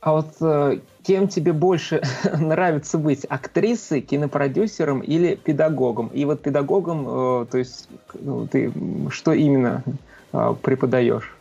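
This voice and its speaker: A male interviewer with a fairly high but very creaky voice asks informal questions, hesitating and rewording them. The recording is a little dull. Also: a little slow, warm tone.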